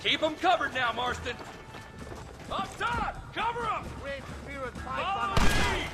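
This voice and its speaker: An actor playing a brisk, disgruntled army captain in a Western video game, blunt and commanding. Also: male voice, very high-pitched, big pitch swings, music in the background, full character voice. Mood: urgent shouting. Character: brisk, disgruntled army captain barking orders, adult man. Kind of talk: video game cutscene acting.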